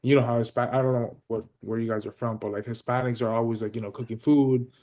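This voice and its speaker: Guest read: man, mid-pitched, casual and profane, leans on fillers. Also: level tone, fast, warm tone. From Miami, United States. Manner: loose and profane, heavy on "you know" fillers. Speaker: podcast guest, pro bodybuilder. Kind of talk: casual podcast interview.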